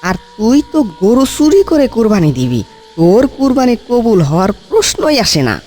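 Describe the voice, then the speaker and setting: A man's voice: extremely high pitch, big pitch swings, normal speed, darkish tone, soft background music. A man voicing a pious cartoon villager who questions and scolds in indignant exclamations. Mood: shocked, scolding. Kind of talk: comic cartoon dialogue.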